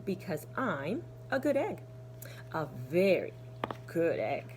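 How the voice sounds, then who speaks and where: A woman voicing an earnest, proud, helpful male egg from a children's storybook, speaking deliberately and emphatically. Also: on the low side, sing-song, noisy background. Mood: cheerful, proud.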